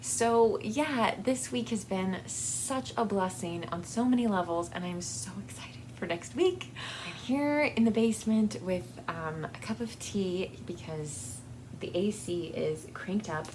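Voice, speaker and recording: A woman vlogger chats casually to her viewers at a slow, halting pace, rambling and openly grateful. Her voice sits at an average pitch. She is recorded in a basement, with noticeable noise behind her. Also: darkish tone, low-quality recording. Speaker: book channel vlogger. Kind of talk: casual personal update to viewers. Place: basement with air conditioning running.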